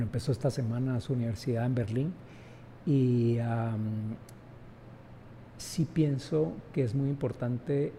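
A man's voice: medium pitch, dark tone, a little hiss or hum. A man, speaking emphatically as an interview guest and often adding rhetorical tag questions. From Guatemala. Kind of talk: reflective conversational interview.

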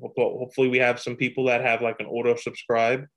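Man, podcast co-host, rambling casual chat, mid-pitched voice, laughs often. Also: monotone, a bit bright. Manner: loose, rambling, quick to laugh.

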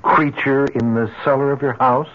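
An actor in an old radio drama playing a rational, skeptical doctor, measured and gently condescending. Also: male voice, mid-range voice, steady tone, lo-fi sound, close to an everyday voice. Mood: skeptical, soothing.

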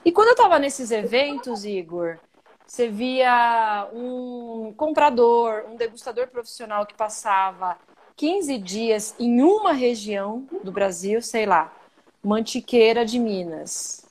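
A Brazilian woman being interviewed on a live video call, telling stories in an animated, outspoken way. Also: on the high side, slow pace. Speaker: guest, coffee journalist and podcaster. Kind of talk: casual interview chat.

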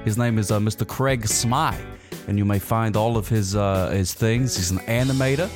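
A man's voice: on the low side, normal speed, over music. A man hosting a podcast in a casual, rambling, jokey way, with plenty of fillers and restarts. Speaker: podcast host. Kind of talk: casual chat.

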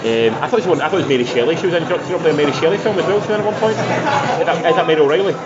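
A man's voice: a little high, racing. A man chatting casually as a film review co-host, rambling and correcting himself as he gives his opinions. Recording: muffled, loud crowd chatter around.

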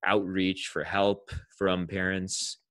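A man with a very deep voice leads a relaxed conversational interview, agreeing often and asking long questions. The sound is dry and close, with no room echo. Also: slow pace. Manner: agreeable, frequent "yeah" and "mm-hmm".

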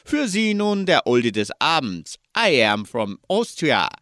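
A male radio presenter reads his script slowly and formally. His pitch rises and falls a great deal, giving the delivery a lively lilt. The sound is close and dry, as from a studio microphone. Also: dry recording.

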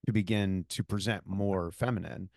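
A man with a lowish voice hosts a casual interview, feeling his way through questions with fillers and restarts. The sound is dry and close. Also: steady tone, normal speed, vocal fry, darkish tone, no room sound. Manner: hesitant, careful, many fillers and restarts.

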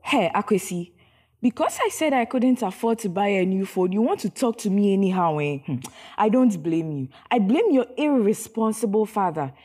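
A woman's voice: mid-range voice, lively intonation, moderate pace, warm tone, close to an everyday voice. A woman with a Ghanaian accent playing a hurt, reproachful single mother in an audio drama, speaking dramatically and emphatically. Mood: bitter, hurt. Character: hurt, scolding single mother of a teenage son.

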